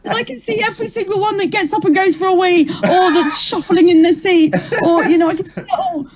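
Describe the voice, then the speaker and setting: A middle-aged woman comedian with a rough, ragged voice, chatting animatedly in an interview. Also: very high voice, over a noisy crowd. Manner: chatty, animated storytelling with quick asides. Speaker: comedian guest. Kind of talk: casual interview chat.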